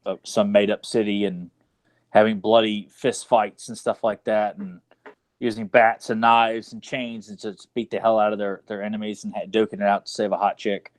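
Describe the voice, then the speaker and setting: A man's voice: fairly low pitch, flat delivery, moderate pace. A man in casual conversation as a podcast co-host, with a crude, sarcastic way of talking. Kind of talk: casual chat.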